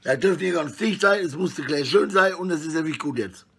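A middle-aged man with a husky voice rambles casually and coarsely in slang, talking to his phone at arm's length indoors. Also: fairly high pitch, animated, normal speed, fairly bright tone. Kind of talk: rambling casual selfie monologue. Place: small indoor room at home.

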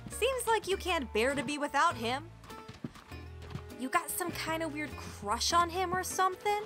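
A woman's voice: very high voice, animated, unhurried, music in the background. A woman playing a bold, teasing, protective young friend in a fantasy audio drama, sassy and confident. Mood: defiant. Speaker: voice actor.

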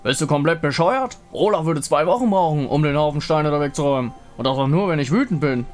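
Hot-tempered game barbarian, voiced by a man, brash and gruff, with music underneath. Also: a little high, lively intonation, fast, natural voice. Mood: irritated.

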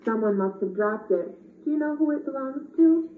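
A shy and friendly reindeer, played by a woman talks politely in a slightly high voice. The puppet-show recording is distant and echoey. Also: lively intonation, normal speed, slight background noise. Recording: echoey, tinny, distant camera.

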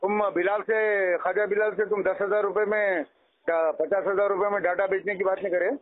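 An older man on a heated phone call, talking gruffly and swearing. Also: sits high, flat delivery, moderate pace. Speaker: call recipient. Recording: tinny phone line.

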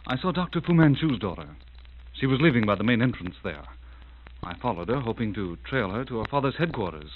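An actor playing a dazed, anxious adventurer in an old 1940s-style radio drama, earnest and agitated. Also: male voice, medium pitch, very animated, a little fast, natural voice. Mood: dazed, groping. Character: dazed, anxious adventurer searching for a kidnapped woman. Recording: narrow, tinny, hissy old radio recording.

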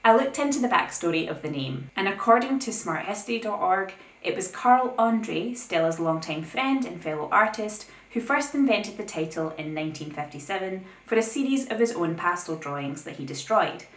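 A young woman hosting an art video, reading a scripted essay with opinionated, lively asides. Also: medium pitch, normal speed, few pauses, echoey room, rough recording. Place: plain indoor room.

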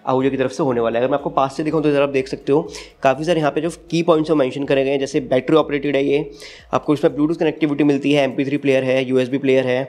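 A man's voice: medium pitch, darkish tone. A man with an Indian accent giving a casual, step-by-step unboxing review as the channel's host. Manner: explanatory, step-by-step.

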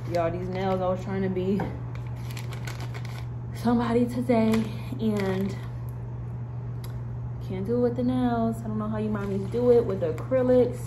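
A young woman, chatting casually to camera in a vlog and calling her viewers y'all. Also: medium pitch. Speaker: vlogger. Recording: noisy background.

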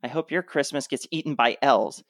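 A woman playing a blunt, snarky sitcom sibling in a comic radio play, with deadpan sarcasm. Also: a bass voice, a little fast, noisy background.

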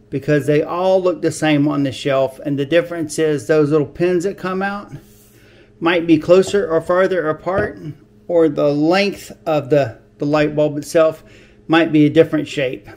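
An older man hosting a home repair video in his kitchen, explaining in a relaxed, folksy, plain-spoken way on a lapel mic. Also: on the high side, moderate pace. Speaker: DIY video host. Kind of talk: casual how-to demonstration.

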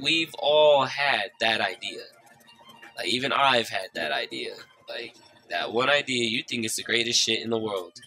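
A man's voice: medium pitch, bright, forceful tone. A young man with a slightly stuffed-up, nasal voice gives loose, profane, joking commentary to his viewers while playing a game. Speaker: gaming YouTuber. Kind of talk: casual Let's Play commentary. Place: small room lit by a side window. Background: game music underneath.

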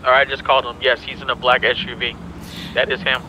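A man talks quickly in an even, flat tone, passing word to teammates in a roleplay game. The sound is dull and lo-fi, with clear noise behind him. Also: medium pitch. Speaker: roleplay teammate relaying messages. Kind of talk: casual in-game chat. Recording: dull lo-fi voice chat, noisy background.

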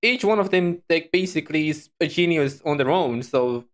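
A streamer giving casual reaction commentary in an animated, rambling, giggly way into a close microphone. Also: medium pitch, moderate pace, a little hiss or hum.

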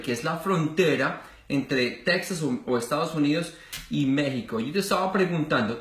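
A man in his thirties from Colombia, hosting a casual interview in an office and gesturing as he talks. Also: medium pitch. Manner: animated, gestures a lot, many fillers. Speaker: host. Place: small office with wall posters. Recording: roomy office echo.

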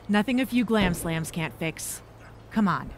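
A woman's voice: medium pitch, big pitch swings, slow pace, slight background noise. A woman voicing a tough, supportive female wrestling mentor who speaks firmly and encouragingly. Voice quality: firm, encouraging.

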